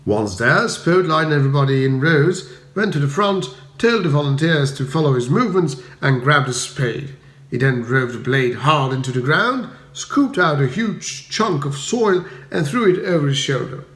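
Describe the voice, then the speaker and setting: A man's voice: on the high side, lively intonation, normal speed, fairly bright tone, roomy sound. A man reading a comic story aloud as a scripted, dramatic audiobook narration. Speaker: audiobook reader.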